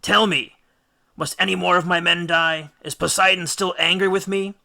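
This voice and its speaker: A man's voice: high-pitched, level tone, normal speed, gravelly, bright, forceful tone, pitched above the performer's own voice, heavily acted. A man voicing a distressed hero of an ancient tale, emphatic and insistent, in a storybook reading. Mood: urgent, pleading. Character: urgent, distressed Greek hero, living man.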